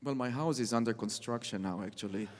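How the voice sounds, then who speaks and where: A man speaking reflectively as a guest on stage, halting and repeating phrases for emphasis. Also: medium pitch, slight background noise. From Israel. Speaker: interview guest, orchestral cellist. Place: on stage in a concert hall. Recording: reverberant concert hall.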